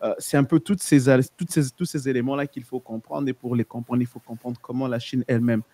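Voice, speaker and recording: A man speaking as a panel guest, explaining in a measured way and often stopping to restart or correct himself. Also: mid-range voice, steady tone, dark tone, no echo. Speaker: guest, editor of a research outlet.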